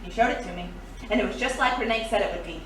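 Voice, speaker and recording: A woman's voice: mid-range voice, fast talker, far from the mic, natural voice. A woman playing a shrewd, world-weary thief in a pulp adventure audio drama, cool and knowing. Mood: grave. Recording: echoey, off-mic, faint noise.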